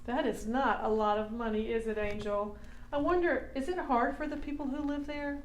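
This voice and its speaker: Casting: female kids' show host, fairly high voice, teacherly scripted skit, echoey room. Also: steady tone, moderate pace, a little hiss or hum. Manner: teacherly, addressing children. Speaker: children's puppet show host.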